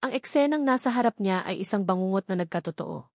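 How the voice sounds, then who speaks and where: A woman's voice: medium pitch, lively intonation, fast talker, a little mellow, no room sound, studio quality. A woman with a Filipino accent narrating a scripted dramatic love story as an audio drama.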